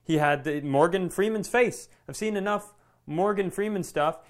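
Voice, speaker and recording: A man with a slightly high voice chats as a comedy podcast co-host. He tells stories in an animated, self-deprecating way and swears freely as he goes. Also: moderate pace. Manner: animated storytelling with profanity. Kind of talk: casual anecdotal chat.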